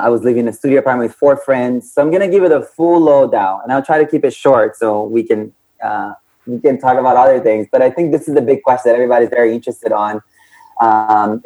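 Brief: man, 30s, Brazilian accent, coaching guest, warm endearments, frequent laughs. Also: medium pitch, poor audio. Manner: flamboyant, affectionate, laughs often. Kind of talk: casual interview chat.

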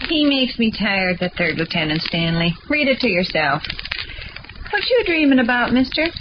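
Curt American housewife, slightly high voice, dry and unimpressed, vintage radio comedy, clear background noise. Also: female voice, sing-song, moderate pace. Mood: dry, skeptical. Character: skeptical, blunt middle-aged housewife and mother, easily exasperated. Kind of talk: 1940s radio comedy acting. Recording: muffled, noisy old radio recording.

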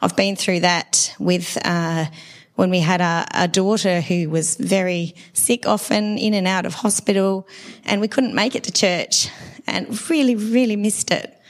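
A middle-aged woman with a dry, hoarse voice after laryngitis, giving a conversational sermon at a church service and asking the congregation questions. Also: mid-range voice, fairly even intonation, unhurried. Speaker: preacher.

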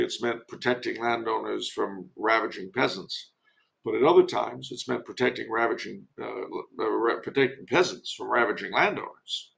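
An older man with a very gravelly, creak-filled voice at an average male pitch. He talks as a podcast guest, wandering through anecdotes and commentary with emphatic, conversational delivery. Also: a bit bright, rough recording.

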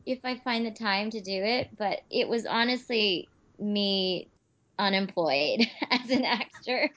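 A woman's voice: mid-range voice, unhurried, a bit bright. Chatty woman on a lo-fi call. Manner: chatty, laughs easily. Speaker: actress guest. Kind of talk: casual podcast interview. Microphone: remote call. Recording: dull lo-fi call audio.

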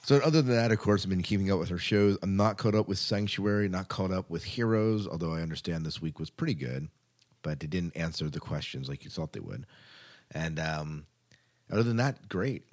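Low-voiced man, casual podcast co-host. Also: animated, fairly quick, a little mellow. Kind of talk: casual chat.

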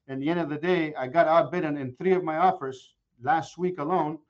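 A man in his forties, a guest mortgage broker, chatting casually in an interview and telling animated stories with many restarts. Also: a little high, fairly quick, highs cut off. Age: 40s. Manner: animated storyteller with frequent restarts.

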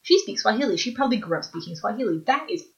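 A woman's voice: mid-range voice, animated, racing. Enthusiastic woman chatting on a lo-fi podcast. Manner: enthusiastic, reads from her notes. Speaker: podcast co-host. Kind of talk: casual chat recapping an episode.

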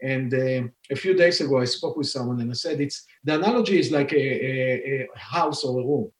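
Man, Israeli accent, forties, founder interviewee, halting explanations, echoey recording. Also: mid-range voice. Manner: explanatory, many fillers and restarts. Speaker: company founder and scientist. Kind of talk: podcast interview. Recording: roomy echo.

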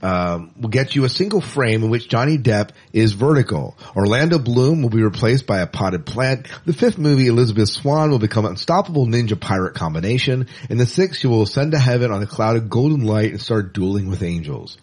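A man co-hosting a podcast, chatting casually and in an animated way about movie news. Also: mid-range voice, brisk, hardly any pauses, slightly rough. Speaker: podcast co-host.

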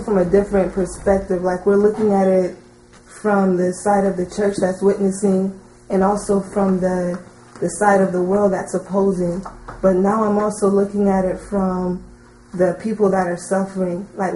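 A woman's voice: mid-range voice, mellow. A woman in the audience speaking informally and earnestly, feeling her way through a point in a tentative, searching manner. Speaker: audience member commenting. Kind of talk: informal, unscripted discussion. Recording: roomy sound with faint noise.

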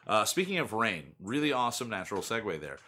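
A man's voice: lowish voice, sing-song, fast talker, sharp tone, breathy edge. A young man hosting a casual podcast, rambling and chatty, with lots of fillers. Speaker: podcast host. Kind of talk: casual chat.

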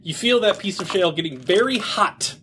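A man's voice: sits high, animated, fairly quick, pushed and bright, a little hiss or hum. A man narrating and running a tabletop game as game master, casual and swearing now and then. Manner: casual, swears, describes scenes.

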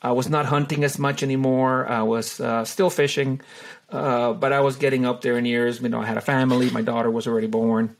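A middle-aged man from Honduras talks through his answers with a gravelly, creaky texture. He hesitates often and fills pauses with "uh" and "you know", restarting his sentences as he goes. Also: mid-range voice, little pitch movement, slight background noise. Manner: hesitant, many fillers and restarts. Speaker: wildlife photographer and tour guide. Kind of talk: relaxed interview answers.

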